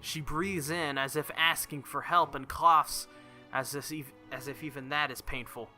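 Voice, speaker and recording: Male let's-play reader, very bright forceful voice, dramatic visual novel narration over music. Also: on the high side, fairly even intonation, a little slow. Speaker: let's play video host. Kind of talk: reading visual novel text aloud.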